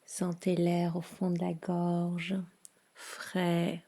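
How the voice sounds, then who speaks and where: A woman leading a guided yoga nidra relaxation in a soothing, hushed manner with long pauses. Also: lowish voice, monotone.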